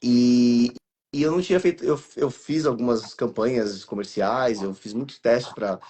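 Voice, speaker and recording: A man's voice: mid-range voice, normal speed, dark tone. Brazilian man chatting with many restarts. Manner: rambling, with many restarts and fillers. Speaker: guest actor and voice actor. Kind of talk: casual interview over a live stream.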